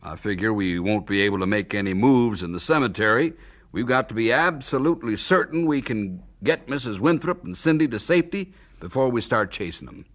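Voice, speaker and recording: An actor playing a steady small-town sheriff in a 1970s radio drama, plain-spoken, folksy and careful. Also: male voice, lowish voice, a little fast. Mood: careful, earnest.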